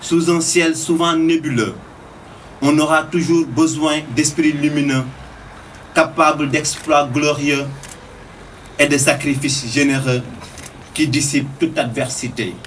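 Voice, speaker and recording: A man reciting a poem of tribute in a solemn, oratorical manner with measured phrasing. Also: a little high, steady tone, fairly bright tone. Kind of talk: declaimed poetry recital. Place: live recital at a public tribute event. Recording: distant microphone with faint noise.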